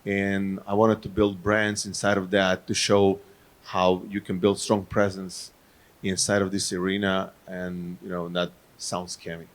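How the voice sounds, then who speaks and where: A man from Croatia, not an English speaker, introducing himself on a conference panel in a halting way with many fillers. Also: low voice, flat delivery. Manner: halting, many fillers, non-native phrasing. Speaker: panelist, fintech marketer. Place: conference stage.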